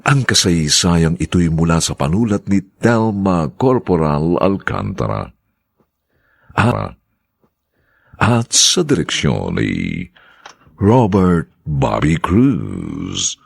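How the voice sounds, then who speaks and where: Deep gravelly male radio drama announcer. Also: sing-song, takes it slowly, dry recording. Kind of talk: dramatic scripted credits announcement.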